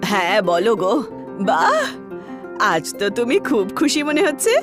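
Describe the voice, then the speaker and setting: A woman voicing a caring, anxious farmer's wife in a cartoon, expressive and coaxing. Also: on the high side, normal speed, over music. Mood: delighted surprise.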